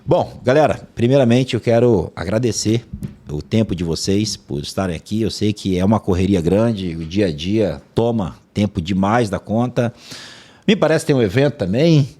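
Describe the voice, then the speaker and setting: A man's voice: on the low side, animated, moderate pace, mellow. A man hosting a business podcast, upbeat and chatty, welcoming guests and leading the talk. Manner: upbeat, chatty, uses fillers like "né". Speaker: podcast host. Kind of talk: podcast interview.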